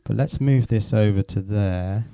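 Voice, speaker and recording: A man's voice: on the low side, measured pace, vocal fry, mellow, clean tone, dry recording. A man presenting an informal hands-on electronics demonstration, thinking aloud and correcting himself as he explains.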